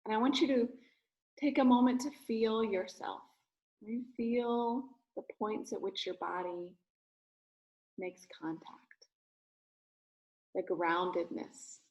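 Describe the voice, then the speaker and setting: A woman speaking as a rabbi leading a guided meditation, giving gentle, soothing instructions in short phrases with pauses. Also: medium pitch, low-quality recording.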